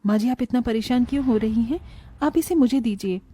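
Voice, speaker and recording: A woman playing a kind, nurturing young mother and aunt in an animated family story, speaking gently and soothingly. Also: fairly high pitch, a little fast, breathy edge. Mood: concerned, caring. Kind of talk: animated Hindi moral story dialogue.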